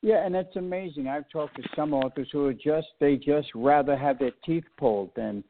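A man hosting a radio interview show, affirming his guest and asking long, drawn-out setup questions. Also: a little high, slight background noise. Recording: tinny phone line.

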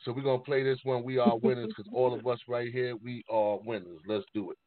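A man with a mid-pitched voice comes through thin and tinny, like a phone line. He hosts music radio casually, with slangy talk and repeated phrases for emphasis. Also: fairly even intonation, brisk. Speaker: radio show host. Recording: tinny, phone-like narrow-band sound.

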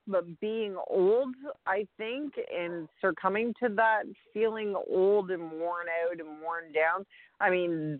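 A man with a high voice chimes in emphatically, agreeing strongly and laughing along. His voice is dry and thin, like over a phone line. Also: dry recording. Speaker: radio show co-host. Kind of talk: casual radio chat.

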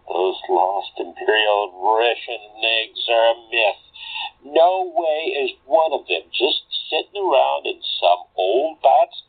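Slangy wisecracking crook on the phone, radio play, husky, swoops around, mocking. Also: male voice, on the low side, full character voice. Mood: dismissive, mocking. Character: wisecracking male fence and con man, teasing, dismissive. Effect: telephone filter. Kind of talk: audio drama acting. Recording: tinny narrow phone line.

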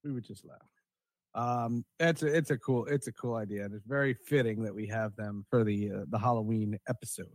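A man hosting a podcast in a casual, conversational way, often halting and repeating his words as he thinks. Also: lowish voice, steady tone, no echo, low-quality recording. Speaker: podcast host.

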